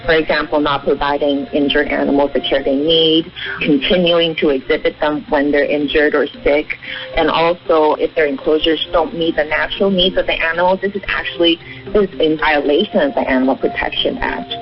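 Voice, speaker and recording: A man's voice: a little high, steady tone, a little fast. A man explaining things informally in a radio interview, in the manner of an advocate. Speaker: animal welfare advocate being interviewed. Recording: muffled narrowband shortwave radio, music behind. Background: music.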